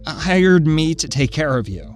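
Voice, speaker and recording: A man playing an anxious, guilt-ridden adoptive father in an audio drama, halting and stammering with earnestness. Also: a little high, very animated, faint music behind. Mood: earnest, anxious. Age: middle-aged.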